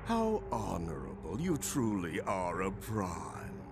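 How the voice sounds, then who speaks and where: An actor playing a smug mercenary robot villain in a video game, mocking and theatrical, with a drawl. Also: male voice, deep voice, huge pitch swings, takes it slowly, heavily acted. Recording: very loud music and effects behind.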